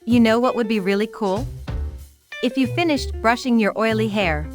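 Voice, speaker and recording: A woman's voice: fairly high pitch, moderate pace, music in the background, close to an everyday voice. A woman voicing a young boy's practical mom, coaxing and matter-of-fact, in a children's storybook.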